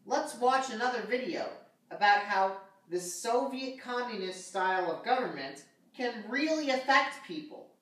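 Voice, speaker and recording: A woman voicing Harry, a knowing, patient male sock puppet who explains things in a measured way on a children's show. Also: fairly high pitch, animated, a bit bright, a little hiss or hum. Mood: presenting. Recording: very echoey room, slightly distant.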